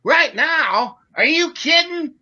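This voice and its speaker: A man's voice: very high voice, animated, takes it slowly, pushed and bright, lo-fi sound, full character voice. A man playing a blunt, gullible, anxious gangster henchman in a 1940s-style radio play, slangy and eager to please. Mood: uneasy, doubtful.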